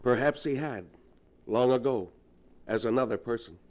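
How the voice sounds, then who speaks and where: Casting: man as solemn learned doctor, mid-range voice, ominous measured storyteller for vintage radio horror. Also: mid-range voice, darkish tone, lightly acted. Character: grave, learned doctor versed in the supernatural. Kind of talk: 1940s radio acting.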